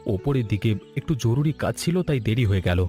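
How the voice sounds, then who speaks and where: A man's voice: medium pitch, expressive, brisk, mellow, soft background music, close to an everyday voice. A man playing a polite, secretive city guest in a cartoon story, speaking hesitantly. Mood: guarded.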